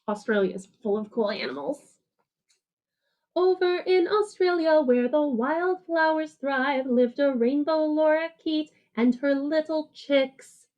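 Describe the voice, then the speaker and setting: A woman teacher reading a picture book aloud to children in an animated, sing-song way, with simple, casual explanations. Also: sits high, measured pace, dull audio.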